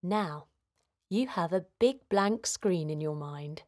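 Slow, gentle woman guiding relaxation. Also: on the low side, lively intonation. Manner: gentle, soothing, simple instructions with pauses. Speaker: children's guided meditation host. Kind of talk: guided relaxation for children.

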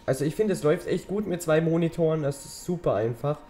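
A teenage boy talks his way through a game, rambling and chatty, his voice slightly hoarse from a cold. His tone is mellow and dark, and faint music plays behind him. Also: on the high side, fast. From German-speaking Europe. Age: teens. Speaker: gaming channel host. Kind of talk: casual let's-play commentary.

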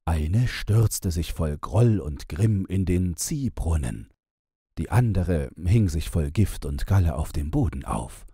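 A man's voice: low-pitched, lively intonation, takes it slowly, warm tone, husky, no room sound, clean studio sound. A man narrating a fairy tale as a scripted bedtime audiobook.

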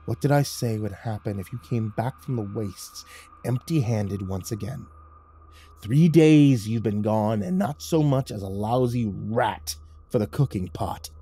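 A threatening male chief of a ragged settlement, acted by a male narrator in a grim storybook tale. He talks in a scornful growl with a mellow, dark tone. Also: lowish voice, measured pace, husky. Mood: mock sadness. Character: tall, menacing male leader of ragged settlers.

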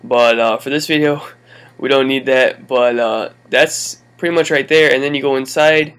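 A young man at a home mic gives a loose, rambling tour of his game world. His pitch sits in an ordinary middle range, and he talks in an easygoing way, trailing off and circling back often. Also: steady tone, moderate pace, a bit bright, clean tone. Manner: rambling, laid-back, many fillers and laughs. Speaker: gaming channel host. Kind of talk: casual game walkthrough commentary.

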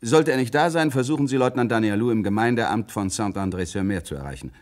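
An actor playing a calm, authoritative middle-aged police commissioner in a radio crime play, terse and probing. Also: male voice, fairly low pitch, fast, lightly acted. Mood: firm, businesslike. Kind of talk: German radio play acting.

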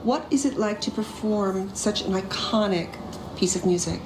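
A woman's voice: medium pitch, moderate pace, reverberant, noisy background. A woman hosting a TV fundraising break, interviewing singers outdoors with an emphatic, gushing manner. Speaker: pledge drive host. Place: outdoors on castle grounds by a lake.

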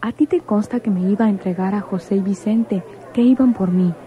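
A woman's voice: mid-range voice, brisk, dark tone, natural voice. An actress playing a proud, wary woman in a radio soap, earnest and firm. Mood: accusing. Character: proud, wary, insistent woman warning a man. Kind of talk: Spanish-language radio soap acting.

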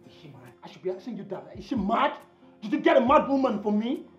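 A man's voice: high voice, sing-song, fast, far from the mic. An actor playing a proud, indignant man devoted to his mother, repeating phrases emphatically in a film drama. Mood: aggrieved. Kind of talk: Nollywood-style film drama acting. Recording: echoey room, muffled, faint music.

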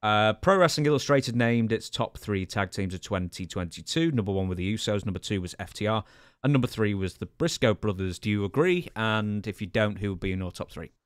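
Low-voiced man, close dry mic. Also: animated, fairly quick, clean tone, dry recording. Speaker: podcast host, interviewer. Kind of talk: casual podcast interviewing. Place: home studio room, indoors. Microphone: close broadcast microphone on boom arm.

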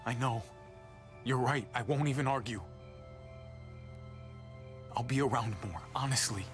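A man playing an apologetic, halting, guilt-ridden younger brother in a cinematic video game drama. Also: mid-range voice, lightly acted. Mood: subdued, earnest. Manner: pleading, halting. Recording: music underneath.

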